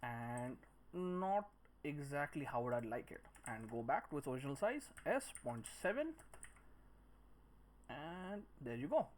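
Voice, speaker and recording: A man giving a step-by-step software tutorial, thinking aloud in short phrases as he works. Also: fairly high pitch, big pitch swings, unhurried, slight background noise. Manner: speaks in short phrases while working. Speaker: 3D modelling tutor.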